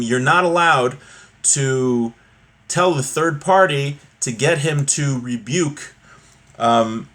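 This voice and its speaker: A man with a mid-pitched voice teaches religious law in an informal lesson. He reads from a text and explains it in everyday, conversational language. He acts out examples and checks in with the listener as he goes. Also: a bit bright.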